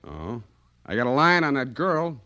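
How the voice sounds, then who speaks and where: An actor playing a cool, wisecracking private detective in a 1940s radio drama, delivering dry, sardonic, clipped lines. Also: male voice, medium pitch, sing-song, a little slow, pushed and bright, no echo. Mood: probing, dry.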